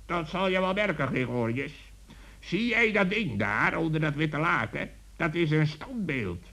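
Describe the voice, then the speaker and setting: An actor voicing an eager, bossy, teasing talking animal in a children's radio play. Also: male voice, a little high, expressive, a little slow, creaky, bright, forceful tone, full character voice, rough recording. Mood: bossy, excited. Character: excitable, gleeful talking forest animal, mischievous.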